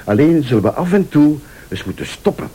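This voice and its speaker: An actor playing a vengeful rival in a radio thriller, a calm, sardonic accuser who speaks Flemish with deliberate, probing politeness. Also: male voice, on the high side, slightly rough. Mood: smug, relentless. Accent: Flemish Belgian. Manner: deliberate, insinuating, formally polite.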